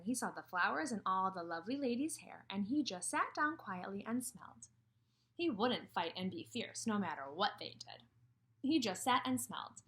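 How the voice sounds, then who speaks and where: A woman leads a read-aloud lesson for small children. Her pitch moves around in a lively way at an ordinary female height. She uses simple, repeated phrases and questions that leave room for answers. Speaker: kindergarten teacher.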